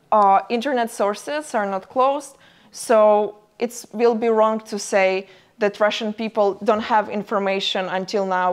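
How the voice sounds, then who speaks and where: A young woman with as her giving a university lecture in halting English, with many fillers. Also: mid-range voice, clear, resonant tone. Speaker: guest lecturer. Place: lecture room.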